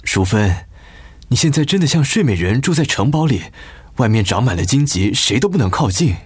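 An actor with a Taiwanese accent playing a middle-aged husband in a radio drama, earnest, gentle and apologetic as he tries to win back his wife. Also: mid-range voice, very animated, normal speed, breathy edge. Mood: earnest, pleading. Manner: gentle, pleading. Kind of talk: Mandarin radio drama acting. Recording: music bed under the voice.

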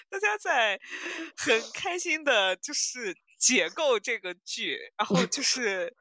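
A woman hosting a casual podcast chat, animated and giggly, often repeating words as she feels her way through a thought. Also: fairly high pitch, slow pace, no echo. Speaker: podcast host.

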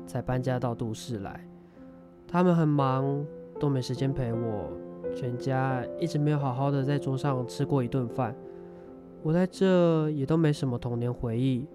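A man's voice: medium pitch, natural voice. A man acting in an audio drama as an earnest, sheepish young dorm mate, speaking Taiwanese Mandarin.

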